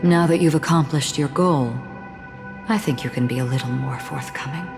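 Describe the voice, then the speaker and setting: Stoic female wanderer, very deep voice, calm, enigmatic, measured, video game cutscene, prominent music. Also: creaky. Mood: calm, probing. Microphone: close studio voice recording.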